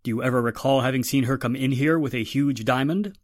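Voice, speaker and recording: A man playing a businesslike police inspector, forceful in questioning, in a 1940s radio drama style. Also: medium pitch, fairly quick, dry recording, clean studio sound, natural voice. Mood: probing.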